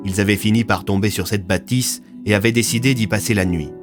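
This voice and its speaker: Male narrator for serial audio fiction, dramatic scripted read, low pitch, soft music bed. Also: steady tone, brisk.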